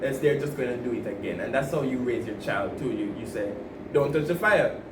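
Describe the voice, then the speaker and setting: A man's voice: mid-range voice, brisk. A man co-hosting a podcast, giving rambling, laughing advice in casual chat, with many restarts. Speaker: podcast co-host. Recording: roomy, distant mic with noise.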